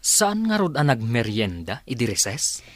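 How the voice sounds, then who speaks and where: A man's voice: medium pitch, big pitch swings, measured pace, fairly bright tone, no room sound. A man acting as a concerned, questioning young father in a radio drama.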